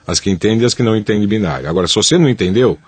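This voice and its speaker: A man with a low, slightly husky voice co-hosts a tech chat show. He explains things patiently, repeating each detail, and slips jokes in along the way. The sound is close and dry, right up on the mic. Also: very fast, no room sound. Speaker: podcast co-host. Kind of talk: casual radio-style chat.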